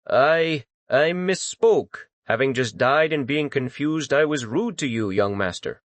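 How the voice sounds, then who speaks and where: A man's voice: medium pitch, animated, a little slow, no room sound, close to an everyday voice. A man voicing a male servant ghost in a dramatized audiobook, blustering or pleading with great urgency. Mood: desperate pleading. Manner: blustering, then pleading and servile. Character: panicked, cursing, groveling male servant ghost.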